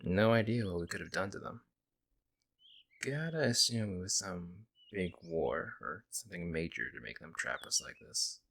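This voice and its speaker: A man playing a friendly young werewolf, a lone wolf who talks casually and teases gently in an ASMR audio roleplay. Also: low-pitched, expressive, moderate pace, vocal fry, slight background noise, full character voice. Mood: wistful, resigned. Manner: casual, hesitant musing, gently teasing. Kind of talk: ASMR audio roleplay, intimate conversational acting.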